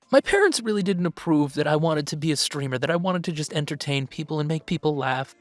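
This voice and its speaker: A woman's voice: sits low, no room sound. Woman as an earnest young male werewolf streamer. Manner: heartfelt, halting, informal streamer chatter. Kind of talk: audio roleplay as a livestream confession to viewers.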